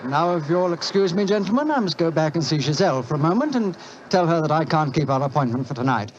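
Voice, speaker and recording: Male actor as entitled English royal incognito, breezy and well-bred, highish British voice, muffled vintage radio. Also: expressive, quick pace, noisy background, lightly acted. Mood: breezy, dismissive. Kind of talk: 1940s radio drama acting. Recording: muffled 1940s radio recording with music.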